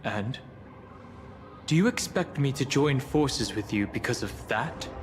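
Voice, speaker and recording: A man's voice: medium pitch, expressive, a little slow, over music, natural voice. A man as a stern, guarded young lord. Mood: challenging. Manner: terse, accusing, controlled. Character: stern, guarded male dragon-lineage lord, proud and distrustful. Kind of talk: scripted video game dialogue.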